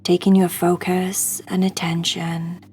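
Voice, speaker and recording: A woman guiding a sleep meditation in a hushed, soothing, breathy voice that often nears a whisper. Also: lowish voice, level tone, takes it slowly, faint music behind. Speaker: meditation guide.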